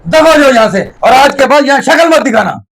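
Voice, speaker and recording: A stern master of a tailor's shop played by a man. His voice is very high for a man, with a brusque, bossy manner suited to moral drama. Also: expressive, quick pace, fairly bright tone, exaggerated voice, poor audio. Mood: scornful anger. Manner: brusque with underlings. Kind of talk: moral story drama acting.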